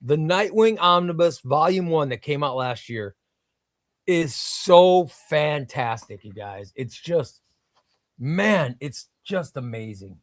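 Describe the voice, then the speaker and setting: A man's voice: a little high, expressive, slow pace, dry recording, studio quality. A man hosting a casual livestream, reading viewer comments aloud and gushing with enthusiasm, often repeating himself. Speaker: livestream host.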